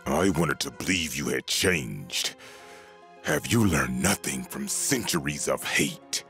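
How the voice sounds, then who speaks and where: A man's voice: very deep voice, lively intonation, slow, sharp tone, faint music behind, voice pushed down, full character voice. A man voicing a huge, noble gargoyle warrior, grave and reproachful, in a dramatic audiobook.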